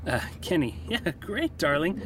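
A man's voice: a little high, very animated, a little slow. An actor playing an upbeat, chummy globetrotter in a radio drama, hesitantly switching between English and German. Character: smooth-talking, upbeat male globetrotter mixing English and German.

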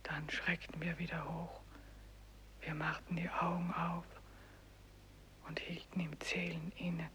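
Hushed, slow, wistful old woman. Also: fairly low pitch, flat delivery. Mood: drowsy hush. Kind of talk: slow poetic radio drama recitation. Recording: dull radio recording, faint noise.

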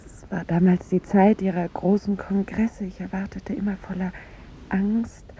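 A woman's voice: medium pitch, fairly even intonation, moderate pace, warm tone, a little hiss or hum, natural voice. An actress playing a charming, proud and tender young woman in a 1950s radio drama, with formal, delicate, theatrical phrasing. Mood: fond, reminiscing. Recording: dull 1950s radio recording.

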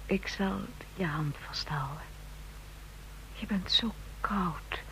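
A woman playing a gentle, attentive companion at a patient's side in a Dutch radio drama, speaking soothingly on a noisy old recording. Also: on the low side, slow. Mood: tender.

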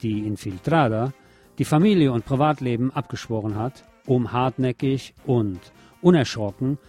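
A man's voice: mid-range voice, normal speed, darkish tone, slightly rough, soft background music. A man presenting a scripted film review as a radio host.

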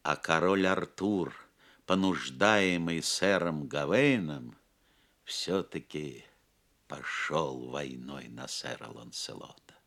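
A man narrating a legend as a scripted audio play, in a solemn, measured manner. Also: a bass voice, gravelly, a bit bright. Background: plucked guitar music.

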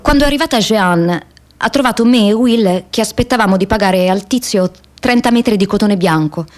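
A woman's voice: mid-range voice, brisk, lightly acted. An actress playing an idealistic young American woman activist in a radio theatre monologue, telling her diary expressively in the first person. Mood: grave recounting. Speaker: stage actress.

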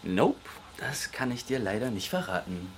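An actor playing an easygoing young lab researcher who deals on the side, talking casually in a naturalistic radio drama. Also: male voice, on the low side, sing-song, normal speed, a little hiss or hum. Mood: teasing, guarded. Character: easygoing young male lab researcher dealing homemade speed. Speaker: research assistant.

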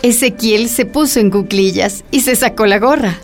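A woman's voice: fairly high pitch, moderate pace, faint music behind. A woman narrating a scripted radio drama.

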